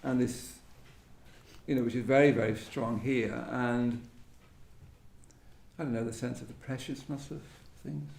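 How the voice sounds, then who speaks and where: A man's voice: medium pitch, fairly even intonation, unhurried, creaky, long pauses, a little mellow. A man hosting a literary discussion, speaking reflectively in tentative, thoughtful phrases that often end in a questioning tag. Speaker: host of a poetry event. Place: echoey indoor venue at a live event. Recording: roomy echo, faint background noise.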